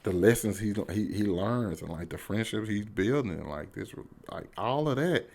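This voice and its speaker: Casting: American man, low voice, enthusiastic online film reviewer, informal and emphatic, with slangy off-the-cuff delivery. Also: expressive, moderate pace, gravelly, darkish tone. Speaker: YouTube movie reviewer. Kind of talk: casual, unscripted video review to camera.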